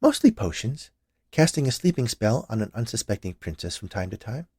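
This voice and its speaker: A man's voice: on the low side, sing-song, fairly quick, a little mellow, slightly rough, no room sound, clean studio sound, heavily acted. A man voicing a wicked, sweetly menacing witch in a storybook tale. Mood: gloating menace. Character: wicked old witch, sweetly menacing, former potion maker.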